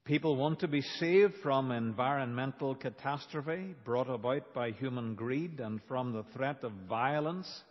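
A man preaching a sermon as a pastor, in a deliberate, emphatic manner with dramatic pauses. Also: medium pitch. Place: large reverberant church. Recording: dull, reverberant church hall recording.

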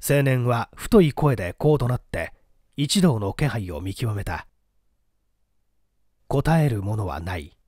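A man's voice: mid-range voice, very animated, takes it slowly, long pauses, darkish tone, dry recording, studio quality. A man reading a mystery story aloud as a dramatic, scripted narration. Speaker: audiobook reader.

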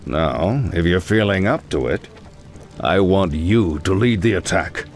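Low-voiced, stern old paladin. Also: male voice, animated, a little hiss or hum. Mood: grave, fatherly. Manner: measured, grave, commanding.

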